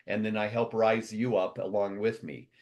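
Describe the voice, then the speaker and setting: A man who runs a company speaking to his members in a motivational address, fast and rambling, with many restarts and long lists. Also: on the low side, level tone, darkish tone, lo-fi sound.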